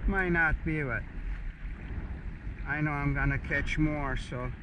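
A man fishing from a kayak, giving excited casual commentary to viewers, recorded on a head-mounted action camera outdoors on the water. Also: fairly high pitch, slow pace. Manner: excited, talks to viewers in short bursts. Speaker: fishing vlogger. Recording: faint wind and water noise outdoors.

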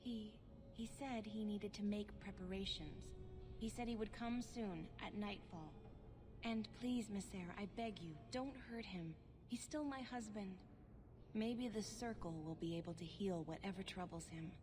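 An actress playing a troubled, gentle elven wife in a video game, speaking in a hesitant, halting way. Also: female voice, mid-range voice, noisy background, natural voice. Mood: fearful, pleading. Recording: game audio with clear background ambience.

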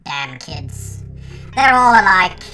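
A scornful grown-up authority figure, put on by a man, grumbles in a raised, sharp voice. The delivery is slow and dismissive, a dramatic reading over faint music. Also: high-pitched, big pitch swings, voice pushed up, exaggerated voice. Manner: sneering, dismissive.

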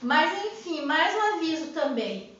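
A woman's voice: sits high, big pitch swings, a little slow, a bit bright. Chatty Brazilian woman in an echoey room. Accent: Brazilian Portuguese. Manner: chatty, direct, repeats herself, talks straight to her viewers. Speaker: YouTube channel host. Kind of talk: casual chat to camera. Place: small indoor room with plants and a monitor. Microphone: camera in front at desk distance. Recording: echoey room, dull sound.

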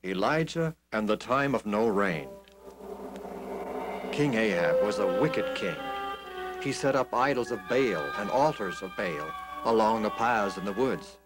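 A man's voice: on the low side, animated, measured pace, over loud music. A man narrating a children's story in a dramatic scripted style, with simple emphatic phrasing.

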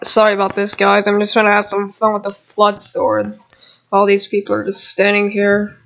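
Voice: mid-range voice, normal speed, a bit bright, lo-fi sound. A gamer giving casual, rambling gameplay commentary with muttered asides to viewers, recorded on a home computer microphone. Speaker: gamer narrating own gameplay video.

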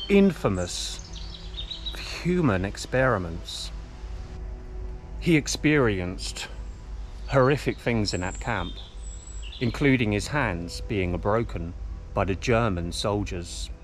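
A man in his forties presenting a history documentary to camera in a measured, deliberate way, pausing between short phrases. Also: mid-range voice, slight background noise. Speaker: host of a history exploration vlog. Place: outdoors among derelict buildings in woodland. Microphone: camera held at arm's length.

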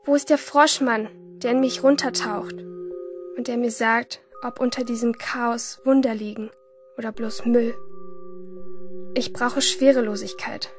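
A woman's voice: a little high, fairly even intonation, measured pace, natural voice. An actress playing a troubled, yearning teenage schoolgirl in an introspective first-person radio drama monologue. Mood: anguished, stifled. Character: troubled, yearning teenage schoolgirl narrating her inner life. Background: music under the voice.

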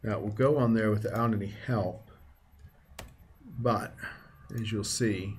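A man with a fairly low voice talks through a casual screencast tutorial. He explains each step as he works, with faint noise and some keyboard typing and clicking behind him. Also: fairly even intonation, unhurried. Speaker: tutorial presenter.

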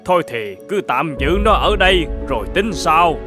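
An actor playing a pompous, excitable middle-aged male court official in a folk-tale cartoon, blustering and exclaiming. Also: a little high, moderate pace, over music. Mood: wary.

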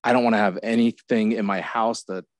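A man with a fairly low voice sits on a conference stage panel, speaking into a handheld mic. He talks in a reflective, measured way, and the sound is close and dry. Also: steady tone, dry recording. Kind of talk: panel discussion.

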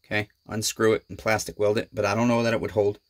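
A man's voice: fairly low pitch, flat delivery, fast. A man in his forties hosting a small engine repair vlog, chatting folksily with his viewers from a cluttered home workshop. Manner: folksy, talks straight to viewers as neighbors, frequent fillers. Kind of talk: casual rambling vlog to viewers.

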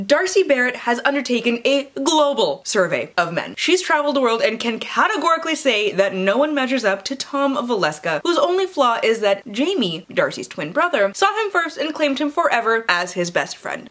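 A young woman with a fairly high voice that swings widely up and down. She talks like a book vlogger at home, switching between casual chat and dramatic blurb reading. Her delivery is playful and theatrical, with sarcastic asides. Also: fairly bright tone. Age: young adult. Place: small room at home lined with bookshelves.

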